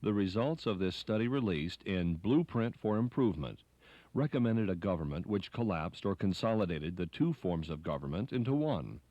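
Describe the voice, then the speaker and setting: A man with a fairly low voice delivers scripted documentary narration. The recording is dry and close, with hardly any room sound around his words. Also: lively intonation, moderate pace, no echo, dull audio.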